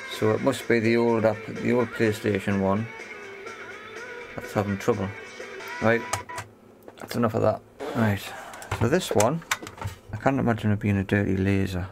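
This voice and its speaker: A man's voice: fairly low pitch, steady tone, moderate pace, vocal fry, long pauses, music in the background. A man giving casual, unscripted commentary on a hands-on repair, thinking aloud in short phrases. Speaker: host of an electronics repair video.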